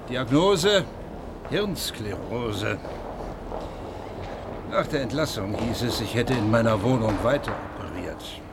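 An actor playing a blunt old surgeon returned from the dead, gruff and direct. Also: male voice, medium pitch. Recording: noisy background ambience.

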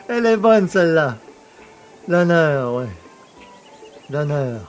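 An actor playing a cheeky, boastful poacher fresh from prison in an audio drama, familiar, mocking and self-pitying. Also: male voice, on the high side, big pitch swings, slow pace, soft background music. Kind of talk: French audio drama acting.

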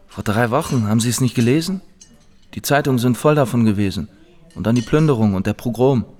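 Radio play male actor: weary displaced man, mid-pitched, matter-of-fact, terse and resigned. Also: fast, a little mellow, lightly acted. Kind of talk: German radio drama dialogue.

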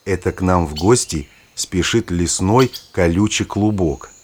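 An older male actor playing a kindly, knowledgeable grandfather who explains nature to his granddaughter in a husky voice, in a children's audio play. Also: low voice, a little mellow. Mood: instructive, engaged. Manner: unhurried, storytelling, instructive. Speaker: narrator-guide in an educational audio play.

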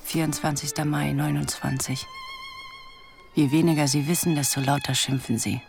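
Creaky, wry young stenographer. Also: female voice, sits low, steady tone, moderate pace, a little mellow, faint music behind. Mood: wry, resentful. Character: sharp-witted, resentful young female police stenographer narrating thoughts. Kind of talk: German radio drama inner monologue.

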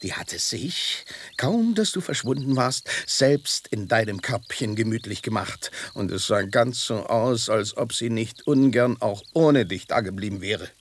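An actor playing a tough, grumpy, proud old street tomcat in a radio drama, gruff and curt. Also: male voice, fairly low pitch, animated, unhurried, few pauses, a little hiss or hum. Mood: jealous.

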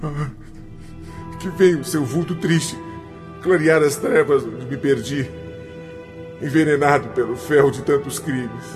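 Man acting an anguished, slow-spoken penitent spirit. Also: on the high side, close to an everyday voice. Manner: imploring, slow, theatrical lament. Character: anguished, guilt-ridden male spirit begging forgiveness. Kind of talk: radio drama acting.

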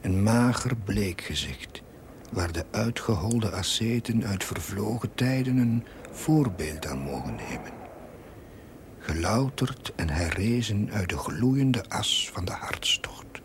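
A frail young priest narrating his diary for a period television drama. The voice is deep and unhurried, measured and literary, often falling into a near whisper. He sounds weary and dryly reflective, over a clearly noisy vintage recording. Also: animated. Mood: wryly solemn. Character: young ailing chaplain, weary, wryly reflective diarist.

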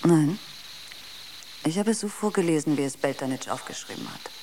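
An actress playing a logical, quietly argumentative ship's computer in a radio drama, with precise, clinical delivery. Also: female voice, deep voice, expressive, normal speed, slight background noise, rough recording.

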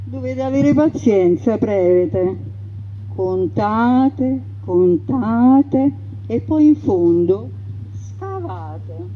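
A woman voicing a defiant male brigand facing execution, who speaks slowly and slyly. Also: fairly high pitch, lively intonation. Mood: calm. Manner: slow, unhurried, sly. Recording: dull radio broadcast, faint noise.

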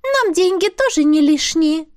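A woman's voice: very high voice, animated, a little slow, voice pushed up. A woman voicing a small, bossy female spirit who talks in a businesslike, indignant way. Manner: businesslike, indignant, hissing when alarmed.

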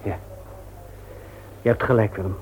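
An actor in a radio play, playing a grieving, weary widower with a halting, heavy-hearted delivery. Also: male voice, lowish voice, fairly even intonation, natural voice. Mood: resigned. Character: Gerrit, grieving widowed father, subdued and weary. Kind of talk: Dutch radio play acting. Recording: tinny, noisy old radio play recording.

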